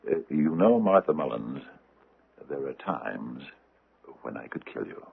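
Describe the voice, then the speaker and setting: An imposing older judge in a vintage radio drama, formal and testy, measuring out halting phrases. His gravelly voice swings widely in pitch, through a thin, phone-like old broadcast. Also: lively intonation, noisy background, heavily acted. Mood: quietly menacing. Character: imposing older male judge, secretly a killer, testy and hungry.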